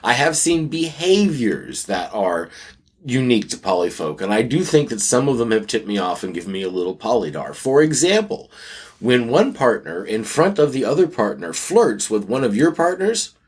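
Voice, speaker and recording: Husky, animated man co-hosting a podcast. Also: medium pitch, normal speed. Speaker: podcast co-host. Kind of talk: casual podcast chat and reading of a listener letter.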